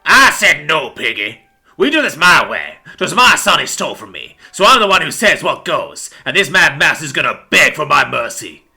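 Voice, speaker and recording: A man's voice: fairly high pitch, sing-song, normal speed, vocal fry, bright, forceful tone, slightly rough, soft background music, voice pushed up, exaggerated voice. A man voicing a domineering, hot-tempered badger father in a story, with rustic, commanding, seething speech. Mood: furious. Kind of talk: dramatic storybook character voice.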